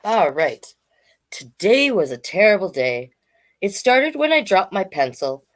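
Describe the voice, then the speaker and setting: A woman's voice: mid-range voice, big pitch swings, a little slow. A woman hosting a storytime, reading aloud to children and chatting to listeners with questions and asides. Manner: conversational, addresses listeners with questions.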